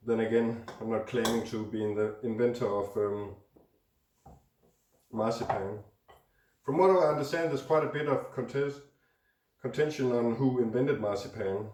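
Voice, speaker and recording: A hoarse, congested man hosting a cooking show, chatting casually as he mixes ingredients in a home kitchen. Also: medium pitch, normal speed, pauses often, a little mellow. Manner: chatty, talks while mixing food. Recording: roomy echo, distant mic, faint noise.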